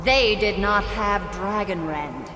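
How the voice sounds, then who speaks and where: A woman's voice: medium pitch, slow pace, noisy background, natural voice. A woman playing a fierce, proud Nord warrior in a video game, making bold and defiant declamatory vows. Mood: proud, confident. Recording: reverberant, with loud music over it.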